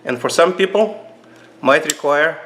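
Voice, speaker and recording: Russian-accented man, halting knife talk. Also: a little hiss or hum. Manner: halting, many fillers and restarts, lively pitch jumps. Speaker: channel host reviewing knives. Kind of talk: casual knife review vlog. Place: indoors at a table.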